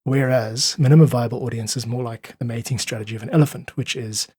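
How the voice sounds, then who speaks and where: Husky South African man explaining earnestly. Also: mid-range voice, quick pace, mellow, no echo, clean studio sound. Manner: earnest, articulate, uses analogies. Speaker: podcast guest, independent musician. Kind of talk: conversational explaining in an interview.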